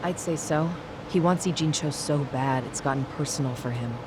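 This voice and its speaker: A woman's voice: sits low, moderate pace, lightly acted. An actress playing a cool, tough, pragmatic gang boss in a video game, curt and guarded, with noise behind her. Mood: cool, analytical. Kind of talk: English video game voice acting.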